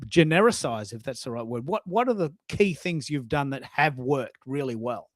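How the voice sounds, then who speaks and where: A man's voice: mid-range voice, expressive, normal speed, no echo, studio quality. A man hosting a casual live audio discussion, talking in an effusive, storytelling way with emphatic asides. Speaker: host of a live social audio show.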